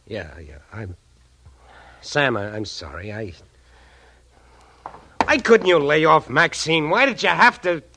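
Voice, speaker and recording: An actor playing a broken, guilty detective in 1940s radio drama, halting and pleading. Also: male voice, on the high side, very animated, sharp tone, heavily acted. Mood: anguished. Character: broken, guilty male private detective confessing. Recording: noisy, clicky old radio recording.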